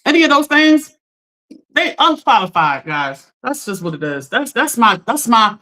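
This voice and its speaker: Casual woman hosting a live chat, voice still recovering. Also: normal speed. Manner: chatty, talks straight to chat, animated pitch jumps. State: recovering from lost voice. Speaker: livestream host.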